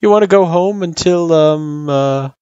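A man's voice: fairly high pitch, a little slow, dark tone, smooth, no room sound, lo-fi sound, pitched above the performer's own voice, lightly acted. A man voicing a laid-back, teasing, reassuring buddy with a casual, joking delivery in a story reading. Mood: casual comfort. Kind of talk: character voice in story narration.

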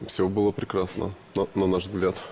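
A man's voice: sits low, monotone, normal speed, a little mellow, a little hiss or hum. A young man answering interview questions in short, hesitant replies with many fillers. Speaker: bachelor guest.

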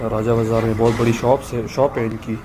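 A man's voice: medium pitch, flat delivery, a little fast. A young man from Pakistan chatting casually to viewers as he walks through a market, filming himself on a phone held at arm's length. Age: young adult. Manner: chatty, talks to viewers while walking. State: tired. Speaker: vlogger. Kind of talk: casual walking vlog. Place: busy street market outdoors. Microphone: phone camera held at arm's length. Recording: loud street noise on phone. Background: street traffic noise.

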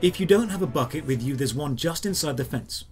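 A man reading a scripted step-by-step game tutorial in a clear, instructional way. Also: a little high, lively intonation, a little fast, a little mellow. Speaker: video guide narrator. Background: background music.

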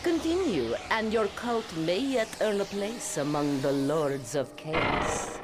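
A woman's voice: mid-range voice, animated, over loud music. A woman playing an imperious, menacing cult mistress, ominous and grand, in a game cutscene. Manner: slow, ominous. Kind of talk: video game cutscene acting.

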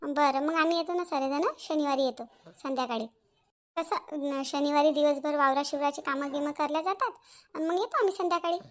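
Gossipy cartoon sister-in-law , woman actor: tiny high voice, quick, eager, rural Varhadi accent. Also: close to an everyday voice. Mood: eager, obliging. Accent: Varhadi Marathi from Vidarbha. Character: agreeable, gossipy village wife and sister-in-law. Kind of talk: Marathi cartoon family-drama dialogue.

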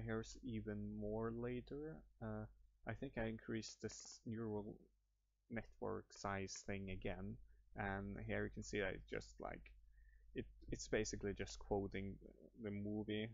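A man's voice: on the low side, normal speed, gravelly, no room sound. Rambling Norwegian-accented young man. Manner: rambling, hesitant, many fillers and restarts. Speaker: YouTuber. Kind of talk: improvised screen-recording walkthrough. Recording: muffled dry home mic.

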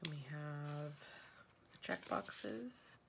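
Sniffly lady chatting about planner stuff at home, kinda gravelly, a little background hiss. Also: low-pitched, lively intonation, very slow, fairly bright tone. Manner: chatty, rambling with fillers and self-corrections. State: sniffly from allergies. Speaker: planner vlogger. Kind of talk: casual show-and-tell narration. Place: at home at a table.

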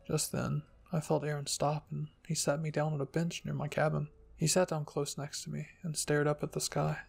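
A man narrating a first-person story in a scripted ASMR reading style, with a husky, gravelly voice. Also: a little high, completely flat delivery, moderate pace. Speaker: fan fiction reader and narrator.

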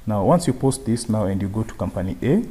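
A man with a low, mellow voice and a husky edge walks through a software tutorial. He explains each step in turn in an unscripted way, often circling back over the same phrases. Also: big pitch swings, fast. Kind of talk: unscripted screen-share tutorial.

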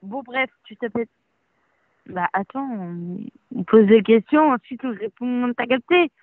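A woman heard over a video chat, bossy and quick to insult. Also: on the high side, no echo. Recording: tinny phone-like call audio.

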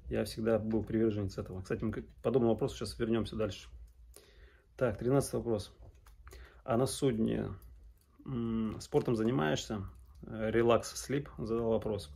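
A man's voice: on the low side, fairly even intonation, moderate pace, vocal fry, mellow, husky. A man in his thirties from Arkhangelsk in northern Russia, answering questions in a casual vlog with hesitant, broken phrasing. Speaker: sailor vlogger. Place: small indoor room at home.

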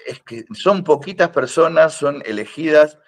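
A man's voice: on the high side, lively intonation, measured pace, gravelly. An older man with a Rioplatense Spanish accent, a karate teacher who rambles with many fillers and restarts, and teases. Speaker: karate teacher, podcast guest. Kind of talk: casual podcast conversation.

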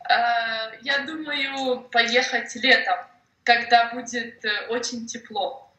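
Slow, encouraging young woman tutor. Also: on the high side, steady tone, pushed and bright. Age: young adult. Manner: encouraging, prompts and corrects words. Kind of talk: slow, clear conversation practice. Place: home office indoors. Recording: roomy video call.

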